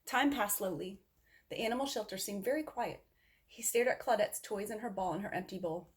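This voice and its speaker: Female storybook reader, mid-range pitch, expressive read-aloud delivery for young children. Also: a little fast.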